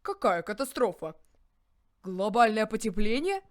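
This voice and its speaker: A woman's voice: mid-range voice, sing-song, a little slow, no echo, clean studio sound. A female performer voicing an incredulous cartoon character in amateur animation dialogue.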